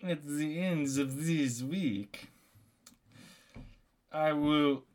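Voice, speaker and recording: A menacing French cannibal captor, played by a male storyteller in a comic tale. He speaks slowly and haltingly in a slightly high voice, dropping in French phrases. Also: lively intonation, sharp tone, exaggerated voice.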